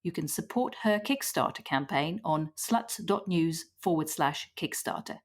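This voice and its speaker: A woman podcast host with a somewhat low voice for a woman. She answers her guest softly and warmly, then shifts into a neat, clearly read outro. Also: lively intonation, measured pace. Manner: soft-spoken, affirming listener, then clear scripted sign-off. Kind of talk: interview and scripted outro.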